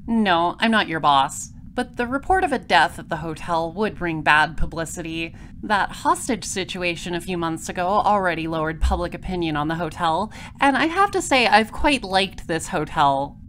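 A woman playing a dry, inquisitive space detective in an audio drama, speaking formally and deliberately. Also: on the low side, expressive, a bit bright, a little hiss or hum. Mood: dry, probing. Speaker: voice actor. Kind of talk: audio drama dialogue and first-person noir narration.

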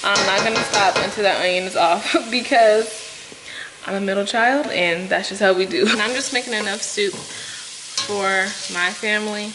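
A young woman walks viewers through a recipe in a casual, chatty way, with plenty of ums and asides. Her voice sits at an ordinary middle pitch. She records herself on a camera sitting close by on the kitchen counter, and food sizzles clearly in the pot behind her. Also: normal speed, background noise. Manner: chatty, talking to viewers with many fillers. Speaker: cooking vlogger. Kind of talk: casual cooking tutorial. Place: home kitchen, indoors. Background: sizzling food and stirring.

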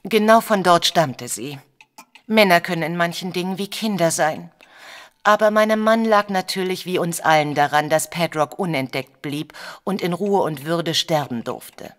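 Eine Schauspielerin spricht eine vornehme, diskrete, besorgte viktorianische Ehefrau, die als Klientin in einem deutschen Hörspiel förmlich und gemessen berichtet. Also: female voice, on the low side, a bit bright, lightly acted. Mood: worried, earnest. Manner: formal, measured storytelling. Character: proper, discreet Victorian married lady, troubled client. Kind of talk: German audio drama acting.

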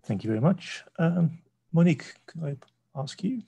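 A man's voice: a little high, animated, moderate pace, vocal fry, dark tone, breathy edge. A man in his forties politely moderating an academic webinar panel on a video call, with frequent hesitations.

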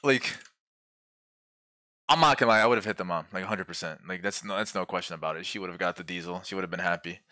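A man's voice: sits low, quick pace, bright, forceful tone, no room sound. A young man in his twenties, a streamer chatting with strangers on a headset mic, brash and joking, swearing freely. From Brazilian American. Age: 20s. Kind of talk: casual video chat with strangers. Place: small bedroom.

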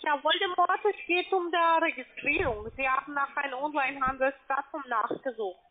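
A high-pitched woman with a foreign accent delivers a telephone sales pitch. She sounds like a call center agent, heard through a narrow, tinny phone connection. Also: moderate pace. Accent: foreign, non-native German.

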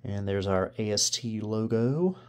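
A man's voice: on the low side, lively intonation, unhurried, background noise. A man giving casual hands-on commentary as a video host, thinking aloud and often unsure. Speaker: host of a vintage computer video channel. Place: indoors in a home room.

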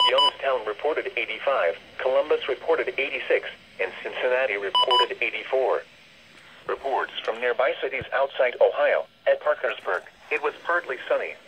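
A computerized man's voice announces a weather forecast in a formal, stilted way at a middle pitch. It comes out of a radio speaker, with clear noise over the reception. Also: lo-fi sound. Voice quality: synthetic, stilted.

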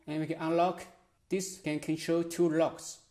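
A man giving a casual step-by-step product demonstration in short, halting phrases, in a small room. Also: fairly high pitch, flat delivery. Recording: music behind the voice. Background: music.

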